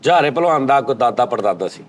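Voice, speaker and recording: A man's voice: mid-range voice, expressive, a little fast. A man with a Pakistani Punjabi accent hosting a casual group chat, arguing and explaining in a sceptical way. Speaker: host of a comedy chat show.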